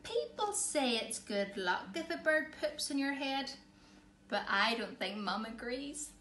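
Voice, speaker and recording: A woman reads a children's story with theatrical emphasis, taking her time over the words. Her voice is fairly high, and the room around her is echoey with faint background noise. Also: expressive, unhurried, fairly bright tone. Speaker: storyteller reading a picture book. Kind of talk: expressive read-aloud for children. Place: small living room, indoors. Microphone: camera or phone a short distance away.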